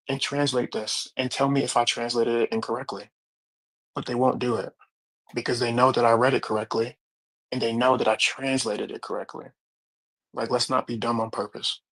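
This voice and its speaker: A man's voice: medium pitch, creaky, fairly bright tone, poor audio. A man in a casual live-stream debate, talking bluntly and challenging others. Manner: blunt, challenging, reads scripture aloud. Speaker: debate panelist.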